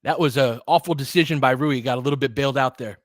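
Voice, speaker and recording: A man's voice: medium pitch, quick pace, no room sound. Man calling casual basketball play-by-play, dry sound. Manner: clipped phrases, informal asides. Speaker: play-by-play commentator.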